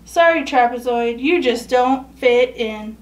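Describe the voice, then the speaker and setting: Woman as a bossy, high-voiced storybook director. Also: takes it slowly, recorded from a distance, poor audio. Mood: brisk, judging. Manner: commanding, dismissive. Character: bossy, self-assured triangle show director.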